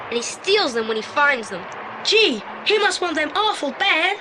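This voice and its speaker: An actor playing a keen, excitable boy detective's sidekick in an audio play, talking in an eager colloquial way. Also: sits high, normal speed, background noise. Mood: eager, curious. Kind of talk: 1980s audio play acting.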